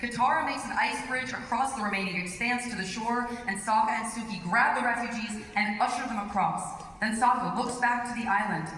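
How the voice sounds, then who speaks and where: A woman's voice: fairly high pitch, a little fast, few pauses. A woman hosting and narrating a script live on stage in a large hall. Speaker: panel host and narrator. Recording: distant, echoey hall, loud background noise.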